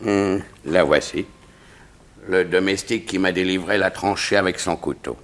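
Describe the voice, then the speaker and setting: Casting: man playing stately Excellency, very low voice, formal and measured, 1960s radio drama. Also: lightly acted. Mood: grave, formal. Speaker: actor in a detective radio serial.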